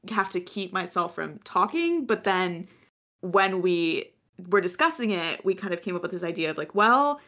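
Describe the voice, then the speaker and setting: An American woman in her twenties with a notably low voice for a woman. She co-hosts in loose, rambling conversation, often breaking into laughter. Her pitch sits on the low side. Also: lively intonation, normal speed, bright, forceful tone. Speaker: podcast co-host. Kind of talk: casual chat.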